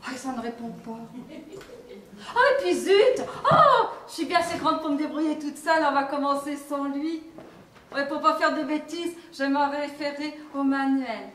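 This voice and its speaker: A woman's voice: sits high, normal speed, close to an everyday voice. An actress playing a bumbling rookie policewoman who talks to herself and reads aloud from a manual, in a film comedy. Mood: flustered. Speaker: police officer. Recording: echoey room, far mic, hiss.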